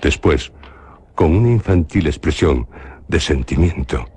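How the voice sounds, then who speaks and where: A man with a Spanish accent from Spain narrating a radio drama in a measured, solemn way. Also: a bass voice, expressive, darkish tone, slightly rough.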